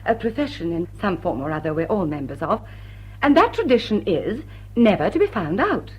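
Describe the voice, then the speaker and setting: Poised lady thief, lively and husky. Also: medium pitch, normal speed, low-quality recording. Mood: mock-solemn. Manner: arch, mock-innocent, polished. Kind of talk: period drawing-room radio drama acting.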